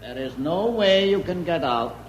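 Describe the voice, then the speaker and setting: An actor playing a menacing, mock-polite doctor who taunts his captives deliberately, in 1950s radio drama style. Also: a little high, expressive, over music, close to an everyday voice. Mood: taunting, menacing. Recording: lo-fi old radio, long reverb, faint noise.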